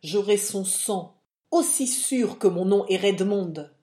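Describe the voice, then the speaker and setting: A woman voicing a hot-headed, proud and lovesick teenage boy in an audiobook, shouting and declaiming defiantly. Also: mid-range voice, slow pace, roomy sound, lightly acted. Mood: vengeful. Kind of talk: audiobook character acting.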